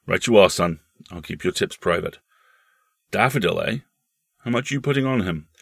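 A man voicing a jovial, well-to-do uncle in a storybook, booming and teasing as he talks. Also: animated, moderate pace, gravelly, a bit bright, husky, no room sound, studio quality, full character voice. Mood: blustering, amused. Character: hearty, amused, well-to-do adult uncle.

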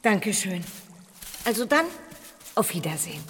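A woman's voice: mid-range voice, big pitch swings, moderate pace, heavy background noise. A woman voicing Moominmamma, a caring mother troll, in a children's radio play. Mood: gentle. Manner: gentle, motherly. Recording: music behind.

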